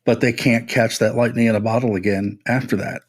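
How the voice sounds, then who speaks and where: A man's voice: mid-range voice, steady tone, fairly quick. A man co-hosting a music podcast, talking casually and giving blunt, wry, emphatic opinions.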